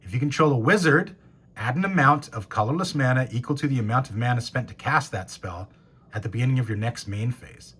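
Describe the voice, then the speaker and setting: A hoarse, raspy man in his thirties, a game store owner, chatting casually and excitedly as he opens packs and reads out cards. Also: mid-range voice, fairly quick. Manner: enthusiastic, reads card names and rules aloud. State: hoarse after a cold. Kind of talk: casual box-opening commentary.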